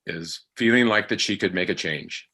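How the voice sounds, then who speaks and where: A middle-aged man talking reflectively in conversation, halting often as he searches for his words. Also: low voice, bright, forceful tone. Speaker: podcast actor and guest.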